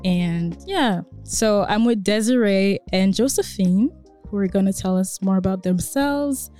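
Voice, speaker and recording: A woman's voice: mid-range voice, unhurried, warm tone, soft background music. A young woman in her twenties hosting a casual podcast, talking in an earnest, reflective way with many fillers. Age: 20s. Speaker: podcast host.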